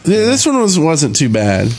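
A man's voice: a little high, huge pitch swings, moderate pace, a little mellow. A man co-hosting a gaming podcast in casual, informal chat with a joking manner. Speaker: podcast co-host.

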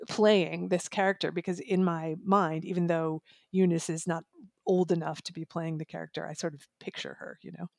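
A woman chats informally about books as a podcast guest. Her voice is fairly low with plenty of vocal fry, recorded very dry. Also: steady tone, moderate pace, a little mellow, no room sound. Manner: chatty, informal. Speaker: book reviewer guest. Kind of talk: casual podcast discussion.

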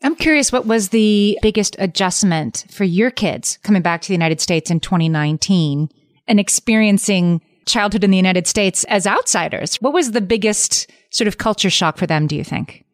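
A woman hosting a podcast, asking the guest measured questions. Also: mid-range voice, expressive. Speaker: podcast host. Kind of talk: interview questions.

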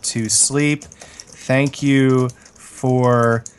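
A man's voice: mid-range voice, steady tone, drawn-out words, slight background noise. A man co-hosting a podcast, casually reading mail and replies in a mock-formal, sarcastic way. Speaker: podcast co-host.

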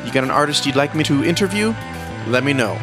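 A man's voice: mid-range voice, lively intonation, fast talker. A man hosting a podcast, delivering a scripted show sign-off. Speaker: podcast host. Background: guitar music.

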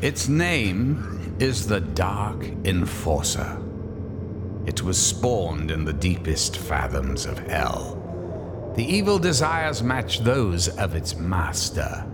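Ominous horror radio narrator, deep n slow, dramatic, echoey. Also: male voice, very animated, full character voice. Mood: grave. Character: grave, ominous male horror storyteller. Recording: long hall reverb, music behind.

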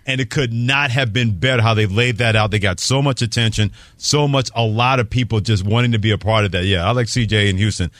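Male radio host from Brooklyn, low register, very dry studio sound, folksy playful call-in chat that repeats phrases. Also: brisk, hardly any pauses, a bit bright, no room sound. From Brooklyn, New York. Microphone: close studio microphone.

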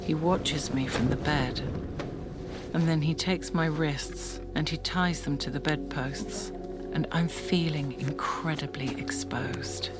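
An actress playing a woman who intimately confides an erotic fantasy in a breathy, confessional audio-drama monologue. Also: low voice, steady tone, takes it slowly. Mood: intimate, aroused. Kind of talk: first-person audio fiction monologue. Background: music.

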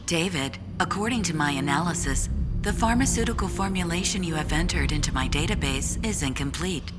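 A woman's voice: on the low side, expressive, over loud music, lightly acted. A woman in an audio drama playing a literal-minded computer assistant, formal and precise. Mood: coolly factual. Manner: formal, measured.

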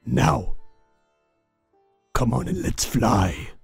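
A man voicing a wise, noble king of doves, declaiming slowly and grandly in a storybook reading. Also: medium pitch, expressive, vocal fry, breathy edge, pitched below the performer's own voice, exaggerated voice. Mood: commanding, rallying. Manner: slow, grand, theatrical declaiming. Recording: long reverb with music behind.

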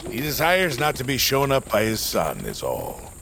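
An actor playing a jovial, humble old laborer fond of ale, a hearty rambler in a fantasy audio drama. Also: male voice, mid-range voice, wildly swooping pitch, moderate pace, vocal fry, a bit bright, slight background noise, heavily acted. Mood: warm, teasing.

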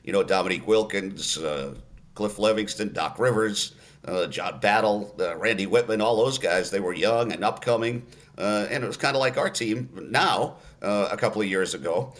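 A man's voice: on the low side, fairly bright tone. Older male radio announcer reminiscing. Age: 60s. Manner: unhurried storyteller with many fillers and restarts. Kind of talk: relaxed reminiscing in an interview.

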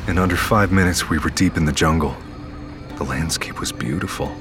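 Audio drama lead: actor as haunted, weary man narrating, very low voice, solemn and measured, music underneath. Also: natural voice. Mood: grave, wondering. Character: weary, haunted man recounting his perilous journey. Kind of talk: audio fiction narration and acting.

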